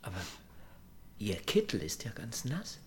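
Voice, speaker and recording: Gentle prison pastor. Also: male voice, lowish voice, wildly swooping pitch, unhurried, slight background noise. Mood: compassionate. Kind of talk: naturalistic German radio drama.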